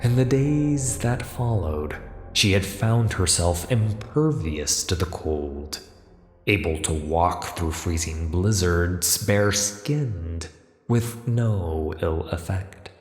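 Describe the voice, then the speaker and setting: A man's voice: low-pitched, takes it slowly, breathy edge, no echo. A man narrating a fantasy story in a dramatic, scripted audiobook style. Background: music.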